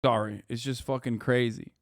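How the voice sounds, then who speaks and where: A man's voice: medium pitch, fairly even intonation, moderate pace, no echo, clean studio sound. A man in his thirties hosting a live stream, reacting to an article with profane, sarcastic, heated rants. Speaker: streamer host.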